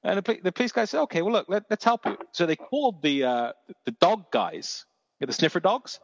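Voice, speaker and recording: A man's voice: sits high, very animated, brisk, vocal fry, no echo, long reverb tail, lo-fi sound. A man with a Dorset accent telling stories in a casual chat, rambling with many restarts and the odd swear word. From England.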